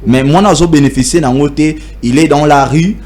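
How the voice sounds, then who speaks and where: A young man with a Congolese accent, speaking haltingly in a debate and switching between French and Lingala. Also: fairly high pitch, a little mellow. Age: young adult. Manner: halting, many restarts, mixes French with Lingala. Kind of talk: hesitant debate talk.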